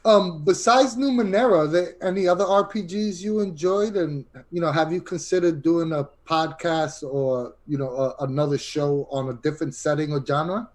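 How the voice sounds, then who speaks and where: A man's voice: a little high, moderate pace, few pauses, a little mellow. A man speaking casually as a podcast interviewer.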